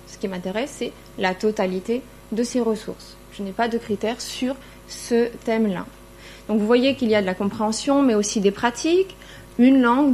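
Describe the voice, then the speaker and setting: Middle-aged female academic, fairly high voice, explanatory conference delivery, slight background hum. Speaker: university lecturer presenting at a conference. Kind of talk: conference presentation. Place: indoor conference room, seated at a panel table.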